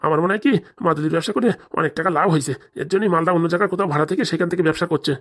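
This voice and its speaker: Lowish, hurried servile henchman voiced by a woman. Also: lightly acted. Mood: deferential. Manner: deferential, excuse-making. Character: servile, defensive male henchman of a crooked boss. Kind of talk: comic cartoon dialogue.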